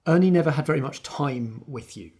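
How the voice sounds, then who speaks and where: A man's voice: a little high, expressive, a little fast, a little mellow, slightly rough. A man narrating an improvised tabletop roleplaying scene as game master.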